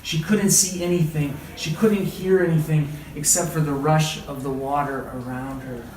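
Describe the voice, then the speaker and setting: A man reading a story aloud as a teacher, with dramatic, deliberate phrasing and questioning asides. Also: fairly high pitch, steady tone, darkish tone. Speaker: read-aloud teacher and trainer. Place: indoor room, training session. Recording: echoey room, distant mic, background noise.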